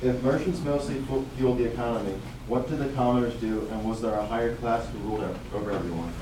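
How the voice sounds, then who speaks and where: A man's voice: mid-range voice, steady tone, quick pace, natural voice. A man playing a curious, easily confused schoolboy in a scripted puppet show. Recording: very echoey room, distant mic, clear noise.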